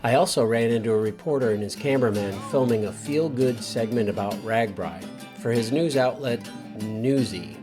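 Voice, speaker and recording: A man's voice: medium pitch, moderate pace, music in the background. A man hosting a podcast, doing casual roaming interviews with friendly, easygoing banter and holding a handheld mic. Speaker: podcast host. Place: outdoors at a bike ride event.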